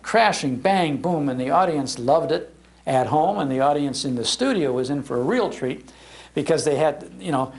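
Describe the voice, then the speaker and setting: Older American male speaker, voice a little high, relaying nostalgic anecdotes in a lively, chatty public-talk style. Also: vocal fry. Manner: animated, conversational, chuckles through anecdotes. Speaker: speaker on old-time radio history, broadcaster. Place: public library talk before an audience.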